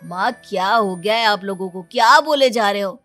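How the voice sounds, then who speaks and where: A woman's voice: medium pitch, normal speed, bright, forceful tone, soft background music, close to an everyday voice. Woman acting an exasperated young son. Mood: sarcastic, exasperated. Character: put-upon, exasperated young adult son, whining in protest. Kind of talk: exaggerated comic story acting.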